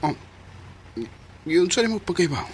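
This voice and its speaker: A man's voice: high-pitched, animated, noisy background. A male actor with a Cebuano accent playing a conflicted young business owner in a radio drama, speaking hesitantly. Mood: flustered. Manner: hesitant, halting. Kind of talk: Philippine radio drama acting.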